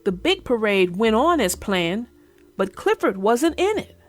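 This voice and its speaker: A woman children's book narrator speaking expressively and deliberately, as if to young children. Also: fairly high pitch, very animated, soft background music. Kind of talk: storybook read-aloud and casual chat.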